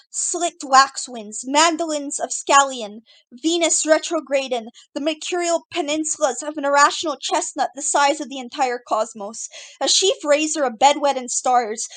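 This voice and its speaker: A woman's voice: sits high, fairly bright tone. A woman reading her own surreal poetry aloud in an incantatory, list-like way, stumbling over some words. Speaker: poet reading own work.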